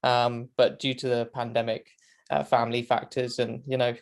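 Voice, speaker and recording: A man's voice: mid-range voice, completely flat delivery, moderate pace. A British man in his twenties chatting casually as an interview guest, talkative and self-deprecating. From Great Britain. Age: 20s. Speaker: guest, motorcycle racing commentator. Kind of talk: casual chat.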